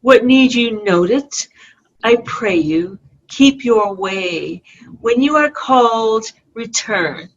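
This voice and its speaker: Proud, wronged Shakespearean queen played by a woman, slow declamatory verse, dull online-call sound with music. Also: on the high side, animated, exaggerated voice. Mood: defiant, impatient. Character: proud, wronged queen defying her judges. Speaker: amateur actor in an online play reading. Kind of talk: amateur Shakespeare read-aloud.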